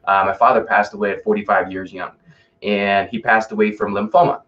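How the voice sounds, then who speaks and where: A lower-pitched man from Russia speaks as a podcast guest. He tells personal stories in an informal, emphatic way, peppered with buddy slang and quick check-ins. The recording is slightly muffled and lo-fi. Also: little pitch movement. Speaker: podcast guest, fitness and life coach. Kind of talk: casual, personal interview storytelling.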